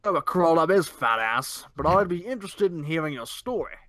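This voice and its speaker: Guy doing a crude gin-drinking gal, gruff n sarcastic, lively swings, comic story reading. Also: fairly high pitch, a little fast, no room sound, clean studio sound. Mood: sardonic. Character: crude, gin-swigging, sardonic, brash woman.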